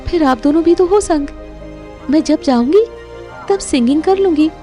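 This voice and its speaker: High-voiced, sweet young mother. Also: lively intonation, moderate pace, mellow, soft background music. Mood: earnest, reassuring. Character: sweet, contented young unwed mother, trusting and devoted. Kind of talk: Hindi audio drama acting.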